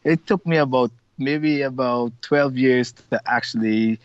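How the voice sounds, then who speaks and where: A man's voice: medium pitch, a little slow, clean tone, no room sound. A middle-aged man speaks with a Guyanese accent, upbeat and rambling, leaning on "you know" as he goes. His mid-range voice comes through dry and muffled, like lo-fi video-call audio. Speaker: carnival organizer, interview guest. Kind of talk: casual interview over video call.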